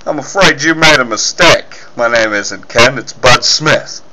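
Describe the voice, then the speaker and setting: A man voicing a mild, dazed amnesiac ballplayer with a halting, uncertain manner, as in a 1940s radio serial. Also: mid-range voice, crowd noise, natural voice, rough recording. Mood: politely unsure.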